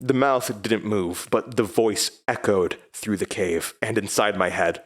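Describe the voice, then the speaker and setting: An actor playing a haunted man, narrating a horror audio drama in the first person with grave, measured hesitation. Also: on the low side. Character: haunted man recounting his horrifying past. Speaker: narrator and lead character.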